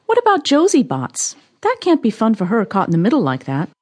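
An actress playing an earnest, inquisitive amateur sleuth in an audio drama, matter-of-fact and questioning, with a husky voice. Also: female voice, on the high side, huge pitch swings, fairly quick, heavily acted.

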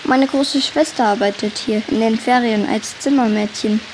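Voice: mid-range voice, steady tone, moderate pace, a little hiss or hum, lightly acted, low-quality recording. A performer playing a laid-back, blunt schoolchild in a children's audio drama, talking casually in slang.

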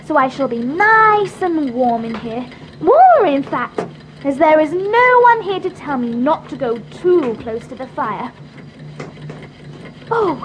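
A woman's voice: sits high, noisy background. An actress playing an eager, imaginative little girl in an audiobook drama. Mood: delighted.

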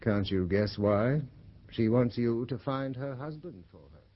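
An actor playing an earnest, exasperated gentleman in a radio drama, speaking formally and emphatically. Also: mid-range voice, a little slow, close to an everyday voice. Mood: exasperated, concerned. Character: earnest, exasperated gentleman worried for his sister. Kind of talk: vintage radio drama acting. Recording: dull vintage radio recording.

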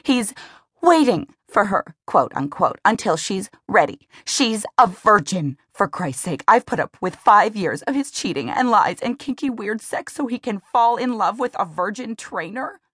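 A woman's voice: lively intonation, measured pace, a bit bright, breathy edge, no room sound, clean studio sound. A woman voicing a petite, heartbroken young woman who rants and swears about her cheating boyfriend. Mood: bitter ranting. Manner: high-pitched, swearing, bitter. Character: distraught young woman, petite, foul-mouthed, furious.

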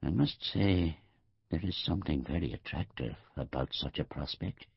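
A bedridden old man near death, narrating a literary audiobook. The voice sits extremely low and crackles with gravel and husk. He ruminates in short, halting phrases, wry and quibbling, on a slightly muffled, dry recording. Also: no echo, exaggerated voice. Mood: wry, deliberating. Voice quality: gravelly, husky. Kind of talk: scripted audiobook narration, first-person literary monologue.

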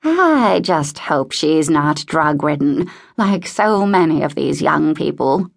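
A woman's voice: deep voice, lively intonation, slow pace, vocal fry, full character voice. A woman voicing a crotchety, bossy old woman in a novel, speaking crossly, firmly and with emphasis. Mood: disapproving.

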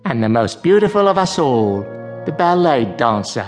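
An actor playing a sardonic clown in a radio drama, blithely resigned, with an arch, florid and mocking delivery. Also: male voice, a little high, very animated, moderate pace, a little mellow, faint music behind. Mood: breezy, arch.

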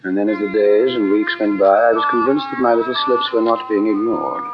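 An actor playing a troubled psychiatrist in an old radio drama, earnest, formal and hesitant. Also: male voice, fairly low pitch, fairly even intonation, fairly quick, over music, close to an everyday voice. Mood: brooding narration. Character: troubled, brilliant psychiatrist fearing for his own sanity. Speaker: narrator and lead actor. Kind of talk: old radio drama acting, first-person narration. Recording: dull vintage radio recording.

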